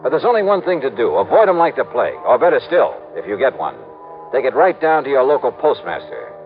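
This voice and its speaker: Casting: wry, tough radio gumshoe, swinging intonation, clipped delivery, old tinny broadcast. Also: male voice, medium pitch, sing-song, a little fast, faint music behind. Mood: earnest warning. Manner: clipped, hard-boiled. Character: tough, wry male insurance investigator narrating his case. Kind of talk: 1950s radio detective acting and narration.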